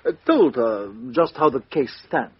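Fussy foreign detective, lots of uh pauses, husky, muffled old radio sound. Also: male voice, mid-range voice, sing-song, dry recording. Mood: polite probing. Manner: hesitant, fussy with many uh pauses. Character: fastidious foreign male detective, polite. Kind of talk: 1950s radio drama acting. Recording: dull lo-fi old radio recording.

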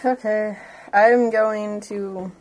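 A woman's voice: medium pitch, steady tone, drawn-out words, a little mellow, a little hiss or hum. A woman talking casually as a player in a tabletop game, informal and assertive.

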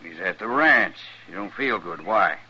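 An actor playing a hard, defensive rancher in an old-time American radio western, with folksy rough speech and a raspy voice. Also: male voice, sits low, animated, a little slow, sharp tone, heavily acted. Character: hard, defensive male rancher turned desperate father. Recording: dull vintage radio recording.

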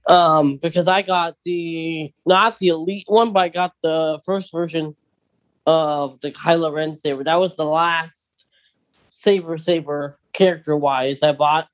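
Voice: medium pitch, fairly even intonation, a little slow. A podcast co-host chatting casually and conversationally about fandom news, often putting questions to a partner.

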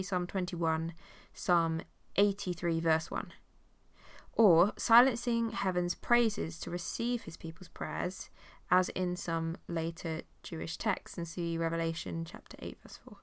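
A woman's voice: lowish voice, fairly bright tone, clean tone, dry recording. A woman teaching an informal Bible study. She has a cold and sounds nasal, with many fillers as she reads passages aloud.

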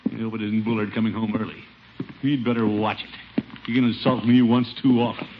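An actor playing a pompous, put-upon middle-aged uncle in a 1950s radio sitcom, blustery and exasperated. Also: male voice, lowish voice, flat delivery, fairly quick, natural voice. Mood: grumbling. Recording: muffled, very noisy old radio recording.

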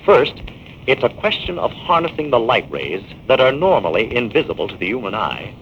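An actor playing an earnest scientist hero who explains firmly, in 1940s radio acting style. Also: male voice, mid-range voice, big pitch swings, normal speed, fairly bright tone. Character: male scientist hero, firm and fatherly. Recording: dull old radio transcription with hiss.

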